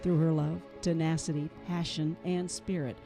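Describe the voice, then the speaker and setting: A measured, formal tribute narration in a mellow, dark-toned voice, with soft music under it. The delivery is steady and scripted. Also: medium pitch, gravelly.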